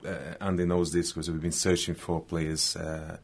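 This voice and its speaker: Very deep-voiced Brazilian football manager. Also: male voice, flat delivery, moderate pace, vocal fry. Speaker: football team manager, guest. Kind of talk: halting conversational radio interview.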